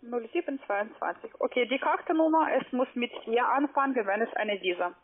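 A woman working as a call-center caller, speaking halting, broken German with many hesitant fillers. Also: a little high, expressive, breathy edge. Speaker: phone scam caller. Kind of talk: phone call. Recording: narrow phone line.

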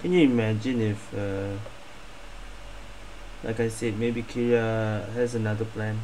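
A man's voice: lowish voice, fairly even intonation, a little slow, darkish tone, slight background noise, low-quality recording. A man giving casual playthrough commentary as a gaming streamer, musing aloud. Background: game music.

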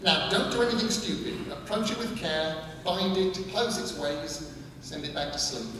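A brusque, boastful old grandmother, put on by a man reading aloud, raised and loud. She waves off objections and bosses everyone around. The voice rings through an echoey hall from a distant mic, with noise behind. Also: fairly high pitch, moderate pace, pitched above the performer's own voice, natural voice. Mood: stern. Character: brisk, bossy old country woman, grandmother.

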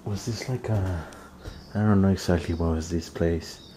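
A young man vlogging as he explores an abandoned house, talking excitedly to his viewers, recorded on a handheld camera. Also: low-pitched, normal speed, noisy background. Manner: excited, addresses viewers as fams. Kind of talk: casual exploring vlog. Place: outdoors and inside an abandoned rural house. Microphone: handheld camera at arm's length.

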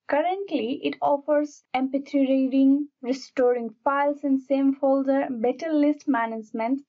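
A woman hosting a software tutorial, reading a scripted voice-over narration. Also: on the high side. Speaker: tutorial channel host. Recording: dull, muffled lo-fi voice-over.

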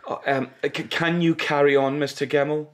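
An actor in a radio drama playing a long-suffering, polite police sergeant, deferential and earnest. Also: male voice, fairly high pitch, level tone, normal speed, lightly acted.

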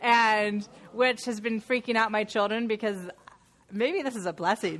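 A woman's voice: mid-range voice, a bit bright. A woman in her thirties leading a women's Bible study, sounding nasal from a sinus infection, chatty and laughing as she talks to the group. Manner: chatty and laughing, with many fillers and restarts. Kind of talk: casual talk to a women's group. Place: church meeting room.

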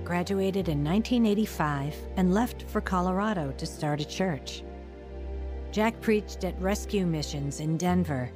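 An earnest born-again woman tells her own story in a medium-pitched voice with music behind her. She is sincere and measured, in the style of an audio drama testimony. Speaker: lead actress and first-person narrator. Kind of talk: dramatized radio testimony narration.

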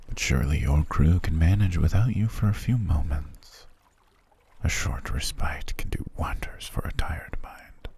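Man voicing a very deep, breathy, alluring male siren. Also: exaggerated voice. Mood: gentle, coaxing. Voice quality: breathy, husky, half-whispered. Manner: slow, soft, coaxing. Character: alluring male siren posing as grateful castaway, soothing, sly. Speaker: voice actor in an ASMR roleplay. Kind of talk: intimate ASMR roleplay.

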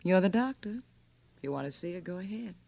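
A woman's voice: fairly low pitch, lively intonation, fast talker, creaky, dark tone, slight background noise. An actress playing a cool, sardonic, unsentimental young woman in a 1940s radio drama. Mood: tart.

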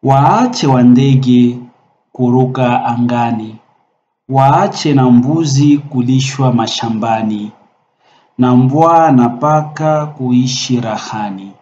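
Slow-spoken Congolese-born professor, mellow tone. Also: male voice, medium pitch, reverberant, highs cut off, slight background noise. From Democratic Republic of the Congo. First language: Swahili. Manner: slow, hesitant speech with frequent fillers. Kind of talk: introducing and reciting a poem. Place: small indoor room at a desk.

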